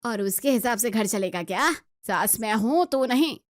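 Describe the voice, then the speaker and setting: A woman storyteller plays a middle-aged mother-in-law who rules her house and grumbles about every change. Her voice is on the high side and scolding, stubborn and bossy, recorded dry for an Indian family drama story. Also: expressive, moderate pace, no room sound, lightly acted. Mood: indignant. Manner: scolding, complaining.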